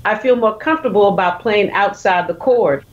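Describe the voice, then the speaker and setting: A woman's voice: mid-range voice, moderate pace. A woman from South Louisiana, talking animatedly about music, laughing often and restarting her sentences. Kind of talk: casual interview chat. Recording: muffled lo-fi line.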